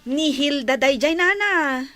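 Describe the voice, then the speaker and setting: Woman as a drawling, bright-voiced Ilocano village gossip. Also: sits high, animated, a little slow, exaggerated voice. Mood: gossipy. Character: gossipy village daughter patiently explaining to her mother. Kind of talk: Ilocano radio comedy acting.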